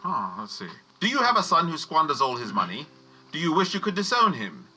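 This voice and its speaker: A man's voice: on the high side, huge pitch swings, fairly quick, sharp tone, exaggerated voice, poor audio. A man playing a pompous, greedy, self-centred wealthy father with theatrical delivery in a comic radio play.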